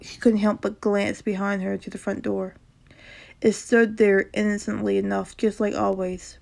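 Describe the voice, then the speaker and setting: A woman with a sore throat and a slight rasp, casually reading a horror story aloud, often stumbling over words and correcting herself. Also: mid-range voice, darkish tone. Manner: reacts casually between passages.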